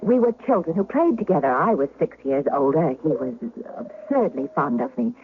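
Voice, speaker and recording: An actress playing a refined, anxiously placating duchess in a genteel, theatrical radio drama manner. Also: female voice, low-pitched, big pitch swings, moderate pace. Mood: coaxing, anxious. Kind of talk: 1970s radio drama acting. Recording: tinny, narrow-band old radio recording, faint noise.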